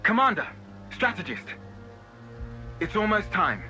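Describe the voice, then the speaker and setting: Very bright, high male soldier. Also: very animated, measured pace, soft background music, full character voice. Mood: formal. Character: dutiful, alert young male soldier. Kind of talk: video game voice acting.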